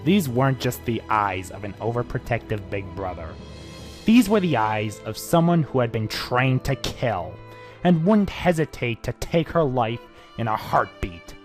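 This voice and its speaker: An amateur storyteller with a mid-pitched voice narrates fan fiction dramatically. The delivery rises and falls widely, with music playing underneath. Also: very animated, unhurried.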